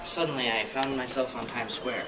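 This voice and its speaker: A man's voice: mid-range voice, fairly even intonation, normal speed. A man, a student, giving an amateur dramatic reading of a novel in a plain, unpolished way with some stumbles. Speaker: student reader. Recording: distant mic with loud background noise.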